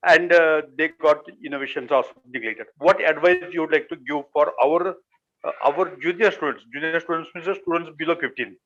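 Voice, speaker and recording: A man asks a polite question on a video call, halting and rephrasing as he goes. His voice sits somewhat high, and the call audio is muffled. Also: fairly bright tone. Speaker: audience member asking questions.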